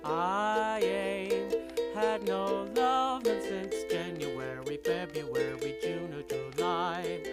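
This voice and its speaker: A woman's voice: on the low side, extremely slow, loud music behind the voice. A woman singing an old-time popular song to a ukulele. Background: ukulele accompaniment.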